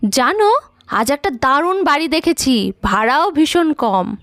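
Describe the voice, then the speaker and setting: A woman's voice: high-pitched, animated, measured pace, a bit bright, no room sound, clean studio sound, exaggerated voice. A woman playing an emphatic, stubborn young wife and mother in an audio story. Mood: eager, excited. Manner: eager, emphatic, chiding.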